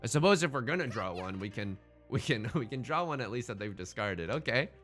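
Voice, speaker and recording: A man's voice: mid-range voice, animated, brisk, a bit bright, soft background music. A man hosting a gaming let's play in casual, bantering commentary, thinking aloud and often repeating his phrases.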